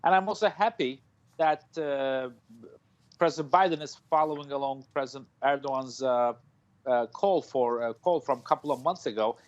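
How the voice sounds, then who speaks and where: A man in his forties gives his analysis live from a room at home, stopping often with fillers and restarting his sentences. The video call sound is dull and lo-fi, and his voice sits at an average pitch for a man. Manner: halting, many fillers and restarts. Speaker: foreign policy analyst. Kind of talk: live news interview.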